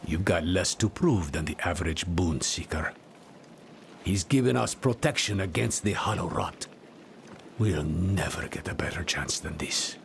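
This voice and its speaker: An actor playing a weary, cautious spirit caller in a video game cutscene, speaking in a grave, deliberate, wry way. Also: male voice, sits low, expressive, gravelly, a little hiss or hum, exaggerated voice. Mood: wry, solemn. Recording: loud music under the voice.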